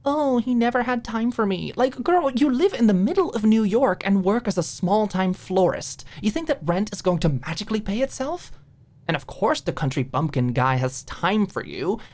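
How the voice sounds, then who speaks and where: A woman's voice: medium pitch, animated, moderate pace, darkish tone, pitched above the performer's own voice, natural voice. A woman voicing a snarky young woman in an audiobook romance, blunt and sarcastic, in a ranting conversational manner. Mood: scathing, ranting.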